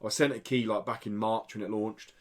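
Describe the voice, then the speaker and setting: A man's voice: on the low side, fairly even intonation, a little fast. Enthusiastic man chatting about games. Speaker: podcast co-host reviewing indie games. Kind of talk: casual game review chat.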